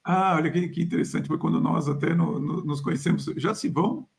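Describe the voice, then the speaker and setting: A man's voice: fairly high pitch, fairly even intonation, brisk, gravelly. A male podcast host and career coach, interviewing a guest in a chatty way with many restarts and affirmations. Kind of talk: conversational interview.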